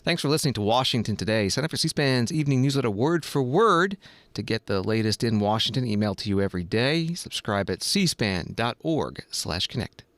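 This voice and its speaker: A man hosting a news program, reading scripted news in a measured, even way. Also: mid-range voice. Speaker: radio news host.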